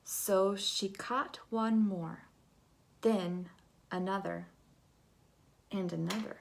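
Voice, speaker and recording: A woman reading a picture book aloud to children in an expressive, dramatic way, moving between hush and big swells. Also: mid-range voice, slow pace, gravelly. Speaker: storybook reader.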